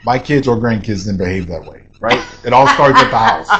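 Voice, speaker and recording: Older man, deep voice, rambling, animated, chatty co-host. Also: a little fast, highs cut off, a little hiss or hum. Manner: rambling, animated, many restarts. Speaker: podcast co-host. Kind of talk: casual chat.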